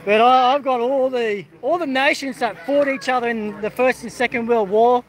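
A man's voice: very high voice, moderate pace, poor audio. An Australian man of middle age chatting casually on a livestream at an outdoor football carnival, rambling with many fillers and restarts as he greets viewers. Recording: crowd noise behind.

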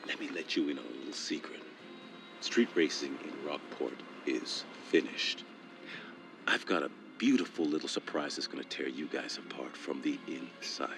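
Low-voiced, taunting crooked cop. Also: male voice, lively intonation, normal speed, creaky, over music, exaggerated voice. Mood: cold menace. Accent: American. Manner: taunting, theatrical drawl. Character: cocky, menacing street-hardened police sergeant, taunting. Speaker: police officer. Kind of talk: video game cutscene acting.

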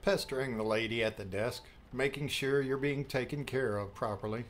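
A man's voice: mid-range voice, moderate pace, close to an everyday voice. Man acting protective, wryly teasing father. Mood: relieved, steady. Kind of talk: audiobook drama acting.

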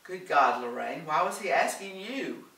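A man's voice: fairly high pitch, animated, a little slow, sharp tone, echoey room, far from the mic, voice pushed up, exaggerated voice. A man reading the part of a troubled, evasive son in a storybook, reluctant and placating. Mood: alarmed.

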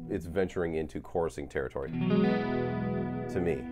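A man in his forties, a guitar channel host, talking casually through a gear demo in a relaxed, rambling way with lots of fillers and a lingering cough. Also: rumbling low, normal speed. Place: home studio with guitars on wall.

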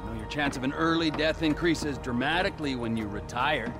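An actor voicing a manic, mocking middle-aged North American criminal in a video game, theatrical and menacing. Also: male voice, mid-range voice, brisk, close to an everyday voice. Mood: sly. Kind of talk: video game cutscene acting. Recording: music and vehicle noise behind.

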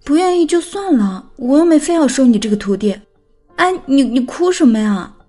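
A woman's voice: sits high, expressive, moderate pace, mellow. An actress playing a playful, caring, feisty young woman in an audiobook drama, teasing and coaxing like a big sister. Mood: flustered, soothing. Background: background music.

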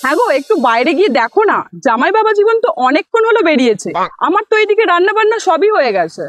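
A woman's voice: very high voice, big pitch swings, rapid-fire, soft background music. A woman voicing a homely, coaxing middle-aged mother-in-law in a comic cartoon.

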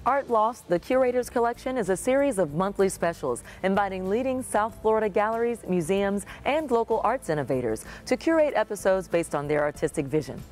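A middle-aged woman hosts an arts show from a studio, her delivery polished and scripted. Her pitch moves a lot, rising and falling with each phrase. Soft music plays underneath. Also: a little fast, few pauses. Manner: lively, polished presenter delivery. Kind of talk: scripted presenting. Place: dark TV studio.

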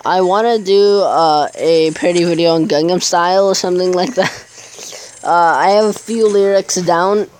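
A man's voice: high-pitched, steady tone, moderate pace, a little hiss or hum. A teenage boy chatting casually over his gameplay video, rambling and hesitant, with many fillers. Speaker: gaming YouTuber.

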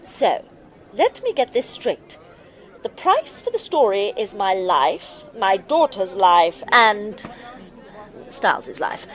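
A woman's voice: mid-range voice, big pitch swings, slow. Haughty, swooping, doting rich mother. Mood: scornful. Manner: haughty, gushing, theatrical. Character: snobbish, doting wealthy mother, imperious and indulgent. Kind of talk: audio drama acting.

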